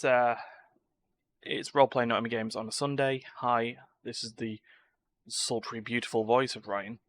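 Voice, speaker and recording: A man's voice: medium pitch, pushed and bright. A man hosting a casual live game stream, chatty and wry, poking fun at himself. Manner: self-deprecating, wry, chatty. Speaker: video game streamer, host. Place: indoors at home, live stream, game sound behind.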